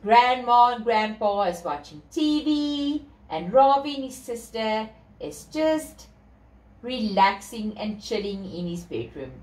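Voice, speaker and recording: Female children's storyteller, South African accent, theatrical sing-song delivery, high-ish unhurried voice, roomy sound. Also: sing-song, a little mellow. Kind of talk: reading a picture book aloud to children, with asides. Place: small bedroom, indoors. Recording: roomy echo from camera distance.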